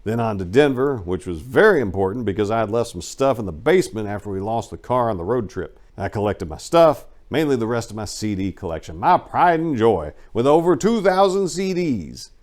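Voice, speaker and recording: A man with a fairly low voice narrates a memoir in the first person. He reads from a script as an audiobook performer would, in a dramatic reading style. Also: very animated, a little fast, few pauses, darkish tone. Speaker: audiobook reader.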